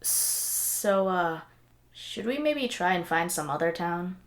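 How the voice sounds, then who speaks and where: A woman's voice: on the low side, animated, slow pace, heavy background noise. A woman playing a mild, hesitant banjo-playing traveler in an audio drama. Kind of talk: scripted audio drama acting.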